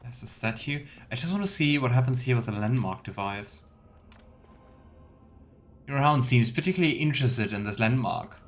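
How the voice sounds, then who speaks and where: A man of average pitch streams a game and comments casually as he goes. He muses aloud and tosses playful asides to viewers, with faint noise and keyboard clicks in the recording. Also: lively intonation, moderate pace, pauses often. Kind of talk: casual live let's play commentary.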